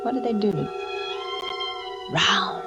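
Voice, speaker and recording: A woman's voice: mid-range voice, slow, lo-fi sound, over loud music. An actress playing an anxious, secretive young wife losing her mind in a suspense radio drama, with a dramatic delivery that drops toward whispers. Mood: fixated. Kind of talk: vintage suspense radio drama acting, first-person narration.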